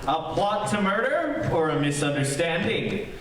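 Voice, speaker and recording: A man's voice: high-pitched, sing-song, unhurried, background noise. A man announcing a stage show with scripted introductions. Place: theater stage. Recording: very echoey theater, distant microphone, music.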